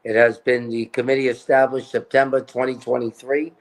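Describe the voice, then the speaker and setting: A man's voice: mid-range voice, little pitch movement, a little fast. A man talking as a political candidate in a casual interview, emphatic and repeating his points.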